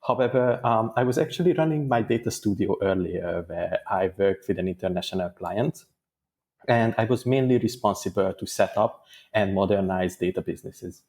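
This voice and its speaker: A man gives interview answers in a fairly low voice. He speaks in a thoughtful, measured way and sometimes restarts a phrase while he finds his words. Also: a little mellow. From Hungary. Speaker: guest data engineer.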